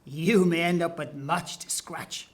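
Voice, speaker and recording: A man playing a menacing soldier who hunts fugitives in a period audio drama, taunting and mocking in a deliberate manner. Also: a little high, fairly even intonation, lightly acted. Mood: sneering, gloating.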